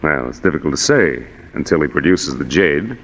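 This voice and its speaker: A man's voice: rumbling low, sing-song, normal speed, a bit bright, slight background noise, exaggerated voice. An actor playing a scheming, politely menacing male villain in formal 1940s radio drama style. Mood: smooth, menacing. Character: smooth, scheming male villain after stolen jade. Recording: muffled reverberant vintage radio recording.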